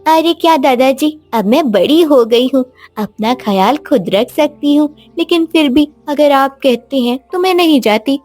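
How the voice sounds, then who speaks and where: A woman's voice: sits high, animated, measured pace, a little mellow, faint music behind. A woman voicing an eager, trusting young girl in a children's story, pleading and exclaiming. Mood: eager, coaxing. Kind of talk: animated moral story dialogue.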